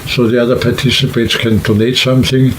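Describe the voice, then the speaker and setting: A man talking casually and unscripted to camera, explaining things in a rambling, halting way like a vlogger. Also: medium pitch, level tone, slight background noise, rough recording. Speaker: hiking vlogger and trip organizer.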